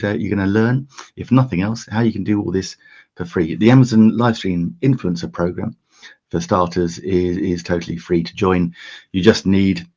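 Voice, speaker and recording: A man from the UK hosting a casual how-to live stream, his voice croaky this early, chatty with lots of fillers and restarts. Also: deep voice, a little mellow, dull audio. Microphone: desk USB microphone.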